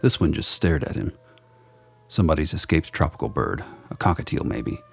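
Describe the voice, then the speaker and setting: A man's voice: very deep voice, big pitch swings, moderate pace, vocal fry, breathy edge. A man narrating a radio play in a scripted, noir-like storytelling style.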